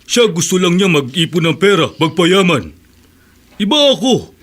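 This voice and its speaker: A man's voice: sits high, big pitch swings, moderate pace, fairly bright tone, heavily acted. An actor in a radio drama playing a stern, devout husband who scolds his wife.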